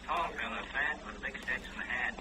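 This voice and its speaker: A noisy vintage radio drama recording features an actor as a brisk policeman. He has a mid-pitched male voice and talks in a plain, colloquial, matter-of-fact way. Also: close to an everyday voice. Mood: businesslike. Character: plain-spoken, brisk policeman on a telephone call. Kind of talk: old-time radio drama acting.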